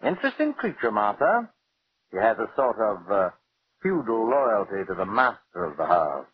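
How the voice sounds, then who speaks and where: An actor playing a bitter, taunting wealthy husband resigned to death in a theatrical 1940s radio drama style. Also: male voice, fairly low pitch, sing-song, slightly rough. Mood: cool, sardonic. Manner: theatrical, sardonic, deliberate. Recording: muffled old radio recording with music.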